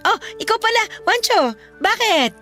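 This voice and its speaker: A woman's voice: extremely high pitch, sing-song, normal speed, bright, forceful tone, exaggerated voice. An actress playing a middle-aged, meddling mother with a bossy, breezy manner in a Filipino radio drama. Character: meddling, brisk mother on her birthday. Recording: music bed under the voice.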